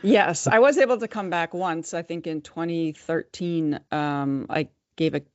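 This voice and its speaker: A woman from the United States talks as a podcast guest, thoughtful and measured. Her voice is smooth and resonant, heard close and dry with a slightly dull tone. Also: lowish voice, dry recording. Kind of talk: podcast interview.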